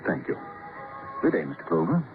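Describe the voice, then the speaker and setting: A man playing a grave, cultured, mournful doctor with florid, measured phrasing in an old radio drama. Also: lightly acted. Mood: guarded, formal. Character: grave, cultured, theatrical male society doctor, mournful and guarded. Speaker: actor in a radio detective drama. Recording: muffled old radio broadcast with music.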